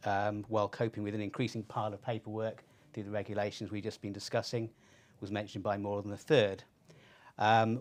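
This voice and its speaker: A middle-aged man presenting informally on a webinar, speaking haltingly with pauses. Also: on the low side, darkish tone. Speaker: panelist presenting research.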